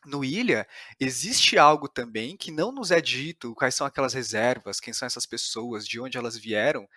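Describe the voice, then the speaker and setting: A man's voice: mid-range voice, expressive, normal speed, bright, forceful tone, no echo, studio quality. A man with a Brazilian Portuguese accent interviewing on a podcast, analytical and discursive, often questioning his own phrasing.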